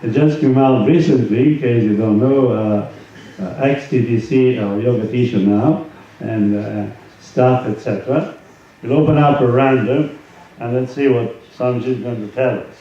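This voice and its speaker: A man speaking as a swami, giving an informal spiritual talk and reading aloud from a book, with halting conversational asides. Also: medium pitch. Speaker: swami, yoga teacher. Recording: roomy echo, distant mic, dull sound, faint noise.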